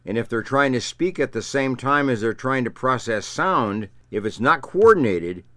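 A man who says he stutters, talking as a speech therapist and explaining his points with measured, emphatic repetition. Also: medium pitch, expressive, sharp tone, no room sound. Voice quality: stutters at times. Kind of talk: explanatory talk to camera.

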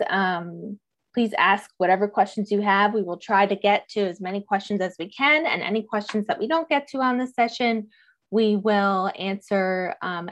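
A woman moderating an online webinar, introducing the session with frequent "um" fillers. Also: medium pitch. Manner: friendly, many "um" fillers. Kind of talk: hosting a webinar.

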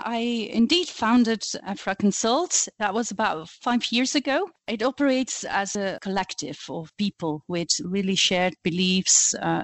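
A woman in her forties from Belgium with a Belgian accent, speaking as a podcast guest in a measured, earnest way with light self-deprecation. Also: medium pitch, no echo. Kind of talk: conversational interview.

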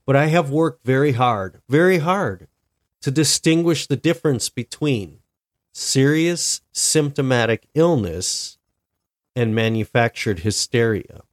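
A man's voice: medium pitch, expressive. Stuffy-sounding man hosting a podcast. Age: adult, married. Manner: deliberate, reflective, with frequent restarts. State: stuffy, sick with a cold-like illness. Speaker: podcast host. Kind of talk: solo podcast monologue.

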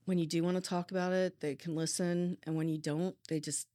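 A woman's voice: lowish voice, little pitch movement, warm tone, dry recording, clean studio sound. A woman who works as a police dispatcher, answering interview questions openly and reflectively, with frequent restarts. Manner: open, reflective, halting restarts.

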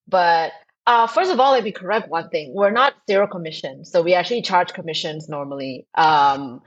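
Thai-born woman in her 30s, podcast guest, husky lower voice, animated and emphatic, muffled remote line. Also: moderate pace. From Thailand. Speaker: startup co-founder guest. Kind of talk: interview answers.

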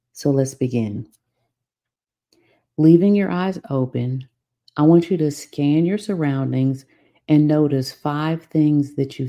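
A woman guides a meditation in a very deep voice for a woman. Her tone is extremely soft-edged and dark, and she speaks slowly. She gives soothing, measured instructions and leaves long pauses between phrases. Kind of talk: guided meditation, scripted narration.

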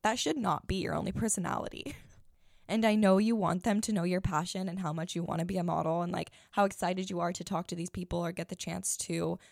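A young woman in her twenties with a raspy morning voice, giving blunt, chatty advice as a podcast host. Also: fairly low pitch, steady tone, a little fast, dry recording, clean studio sound. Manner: blunt, chatty, many fillers. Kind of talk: casual advice chat.

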